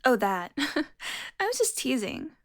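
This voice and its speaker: A seductive vampire, acted by a woman, speaking close and intimately to a single listener. Her fairly high voice moves slowly and often turns breathy and whispery. She teases smugly, with a tender streak toward her prey, in the manner of an audio roleplay. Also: sing-song, heavily acted. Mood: wry, caring. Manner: breathy whispers, slow sultry teasing.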